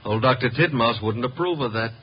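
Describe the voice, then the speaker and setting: An actor playing a cheeky, sardonic detective's assistant with a breezy, needling manner in a 1950s radio drama. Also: male voice, mid-range voice, brisk, husky. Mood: teasing, sardonic. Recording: muffled, noisy vintage radio recording.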